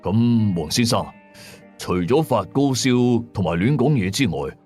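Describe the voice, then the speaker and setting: A man voicing a middle-aged exorcist who questions his clients in a measured, reassuring way. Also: medium pitch, lively intonation, a little mellow, slightly rough, faint music behind. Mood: calm, inquiring.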